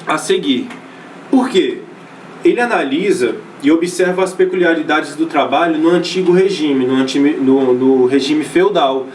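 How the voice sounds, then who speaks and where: A man's voice: fairly high pitch, far from the mic, a little hiss or hum. A Brazilian man teaching a sociology class in a loose, conversational way, often restarting phrases and checking in with his students. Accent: Brazilian Portuguese. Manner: casual, many fillers and restarts, checks in with students. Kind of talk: recorded online class lecture.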